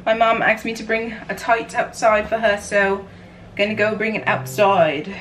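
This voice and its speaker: A woman's voice: mid-range voice, steady tone, moderate pace, fairly bright tone, soft background music, low-quality recording. A young American woman chatting casually to the camera in a playful, chaotic way as she vlogs in her home kitchen. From New York, United States. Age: young adult. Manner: playful, chatty, self-described chaotic energy. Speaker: vlogger. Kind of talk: casual cooking vlog chat to camera. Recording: clear background noise, room ambience.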